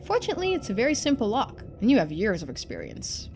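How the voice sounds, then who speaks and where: A woman streamer reading a story game aloud in expressive narration, with casual asides. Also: medium pitch, quick pace, slight background noise.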